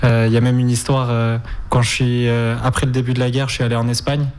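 A young man. His delivery stays flat and even, with little rise or fall. He pauses often and restarts his sentences as he searches for words. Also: medium pitch, clean tone. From Spain, raised in Ukraine. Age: 20s. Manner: halting, frequent hesitations. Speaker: guest. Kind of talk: interview answers.

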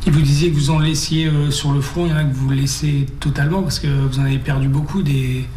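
A male interviewer speaks in a roomy, echoing space with some noise behind him. His voice sits slightly high. He builds his questions conversationally and haltingly, often repeating words. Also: little pitch movement, warm tone. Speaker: interviewer, host. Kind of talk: conversational radio-style interview. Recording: roomy echo, clear background noise.

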